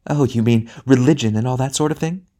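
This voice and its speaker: A man voicing the bitter ghost of a mother, snappish and demanding, raising his voice higher for her. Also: fairly quick, dark tone, close to an everyday voice. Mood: impatient, bitter.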